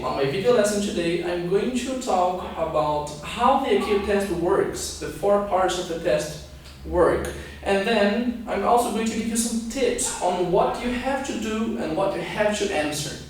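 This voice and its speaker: A man's voice: high voice, animated, normal speed. A man in his twenties from Scotland and Brazil giving an instructional video lesson, explaining step by step and often checking in with okay. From born in Scotland, raised partly in Brazil. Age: 20s. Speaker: English teacher and pilot giving a lesson. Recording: very echoey room, distant microphone.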